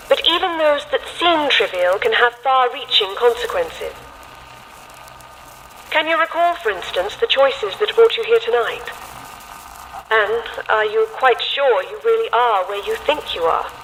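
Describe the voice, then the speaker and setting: A woman's voice: fairly high pitch, a bit bright, poor audio. A woman playing a grave, mysterious storyteller, giving scripted dramatic narration of an eerie tale. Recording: faint noise, live theatre stage.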